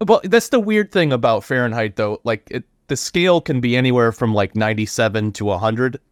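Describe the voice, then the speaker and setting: A man hosting a podcast in easy conversation, his pitch swinging up and down as he talks. He acts out little quotes in a raised voice, and the sound is close and dry. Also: animated, brisk, clear, resonant tone, no echo. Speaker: podcast host. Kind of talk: casual podcast chat.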